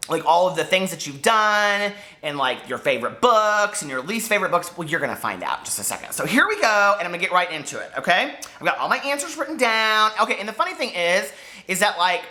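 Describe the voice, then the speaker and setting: Chatty guy vlogging about books, bright forward voice, excitable, rambles with lots of likes and ums. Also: high-pitched. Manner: gushing, digressive, peppered with fillers. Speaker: book vlogger. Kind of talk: casual chatty vlog to camera.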